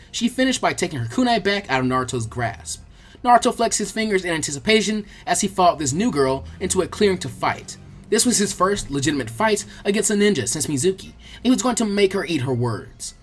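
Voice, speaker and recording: Animated audiobook-style story narrator. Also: medium pitch, fairly quick. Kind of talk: expressive scripted story narration.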